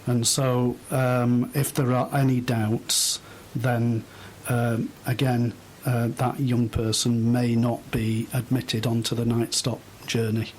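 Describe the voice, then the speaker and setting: A middle-aged man giving thoughtful, halting answers as a guest in an unscripted radio interview. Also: medium pitch, flat delivery, a little mellow, a little hiss or hum, low-quality recording. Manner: hesitant, thoughtful, halting phrases. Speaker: interview guest, volunteer host.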